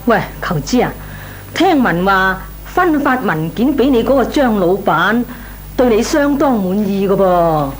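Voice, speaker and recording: An actress playing a nosy, goading neighbourhood housewife in a Cantonese comic radio drama, with colloquial, exclamatory talk. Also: female voice, mid-range voice, big pitch swings, unhurried. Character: nosy neighbourhood married woman, coaxing and teasing. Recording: noisy, humming radio recording.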